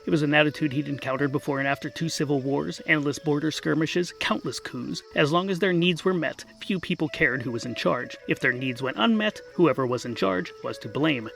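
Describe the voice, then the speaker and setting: A man with a fairly high voice narrates a comic fantasy tale. His scripted delivery is wry and mock-literary, and music plays softly behind him. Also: a little fast, vocal fry, few pauses.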